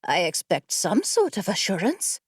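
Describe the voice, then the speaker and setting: A woman's voice: medium pitch, wildly swooping pitch, breathy edge, no room sound, studio quality. A woman voicing a clever, bookish female traveler in an audiobook, earnest and precise with a doubtful edge. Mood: doubtful, dry. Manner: earnest, haltingly questioning, precise wording. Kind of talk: audiobook character voice.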